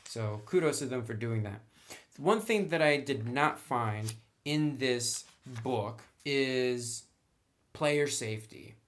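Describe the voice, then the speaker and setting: A man reviewing in a casual, conversational and opinionated way, speaking informally like a hobby commentator. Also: mid-range voice. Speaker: tabletop game book reviewer.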